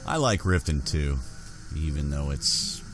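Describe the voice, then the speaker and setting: A man speaking in character in a measured, deliberate way as he roleplays through a fantasy video game. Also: rumbling low, expressive. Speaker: gamer roleplaying as his character. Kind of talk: in-character roleplay gameplay narration. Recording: game music behind the voice.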